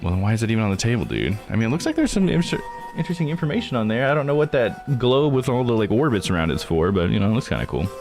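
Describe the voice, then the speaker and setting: A man's voice: mid-range voice, very animated, rapid-fire, over music. A man hosting a live game playthrough, chatting informally and rambling through his commentary. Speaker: live streamer and host.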